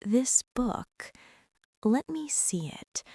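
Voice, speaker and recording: A woman playing a gentle, nervous mother in a soft-spoken ASMR roleplay, tender, hushed and hesitant as she reassures and fusses. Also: fairly high pitch, very animated, drawn-out words, slightly rough, no echo, heavily acted. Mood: soothing. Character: gentle, nervous mother fussing over a hurt boy.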